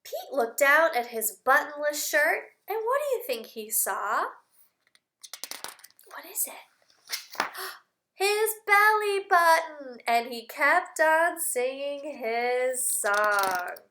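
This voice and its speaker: A woman with a high, bright voice reads aloud to young children as their teacher. She takes her time, stressing and repeating key words in simple phrases. Her delivery is animated, with questions and sing-song bits. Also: slow, a little hiss or hum. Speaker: speech-language teacher reading aloud.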